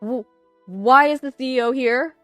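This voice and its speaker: A pompous, cowardly braggart of a man, played by a woman narrator. He blusters loudly in a dramatized story, pitched up, over music. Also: moderate pace, no echo. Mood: shocked, panicked. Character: arrogant boastful young man, cowardly when cornered. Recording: music behind the voice.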